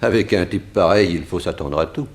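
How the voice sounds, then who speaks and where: Deep-voiced, sober prison officer. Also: male voice, brisk, creaky. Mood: grave, sober. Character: sober, wary male prison officer reporting to superior. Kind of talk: 1960s French radio drama acting. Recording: faint rumbling noise, old radio production.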